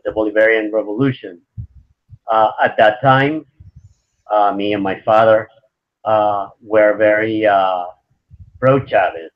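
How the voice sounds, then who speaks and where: Man in his fifties, lo-fi sound. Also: lowish voice. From Venezuela. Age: 50s. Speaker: interview guest. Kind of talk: conversational interview answers.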